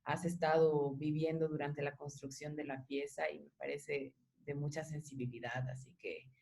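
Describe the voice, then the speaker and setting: Woman, interviewer, notably low pitch, thoughtful conversation, measured and supportive. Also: fairly even intonation, gravelly, darkish tone.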